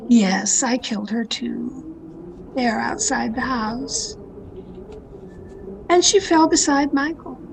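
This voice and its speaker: An actress playing a jealous, murderous mystery novelist in a radio drama, with deliberate melodramatic delivery over a home call recording. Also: female voice, fairly high pitch, creaky, background noise. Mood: resigned confession. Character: jealous, murderous woman mystery novelist confessing her crimes. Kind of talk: dramatic first-person radio mystery narration. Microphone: video call.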